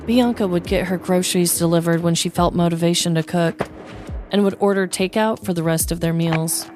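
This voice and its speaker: An American woman hosts a storytelling podcast, reading scripted comic true-crime narration. Her voice sits somewhat low for a woman. Soft music runs behind her. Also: steady tone, moderate pace.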